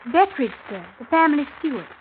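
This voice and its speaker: A woman's voice: sits high, very animated, fast. A woman playing a polite, chatty young servant in an old radio drama. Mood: polite, welcoming. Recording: tinny, noisy old radio recording.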